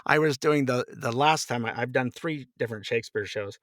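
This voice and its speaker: A man's voice: medium pitch, a little fast, a bit bright, dry recording, clean studio sound. A middle-aged man chatting casually as an interview guest, telling anecdotes in a rambling, chuckling way. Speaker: podcast guest, voice actor.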